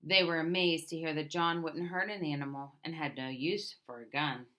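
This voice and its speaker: A woman reading a picture book aloud as a storyteller, in a deliberate manner with emphatic openings to her phrases. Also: low-pitched, sharp tone. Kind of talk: scripted picture book narration.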